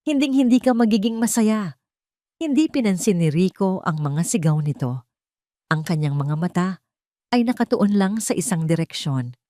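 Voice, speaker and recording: Mellow Filipina woman narrating a dramatic story. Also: on the low side, sing-song, normal speed, no echo, studio quality.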